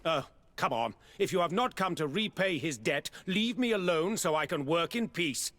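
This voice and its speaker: An actor playing an evasive, grudging merchant in a video game, defensive and brusque in manner. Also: male voice, on the high side, lively intonation, normal speed, a bit bright. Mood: defensive, irritated. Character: evasive, grudging male merchant client owed money.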